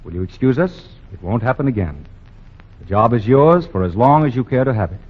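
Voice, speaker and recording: An actor playing a pompous, benevolent store owner in a 1940s radio play, formal and halting. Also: male voice, medium pitch, lively intonation, husky. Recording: dull old radio broadcast.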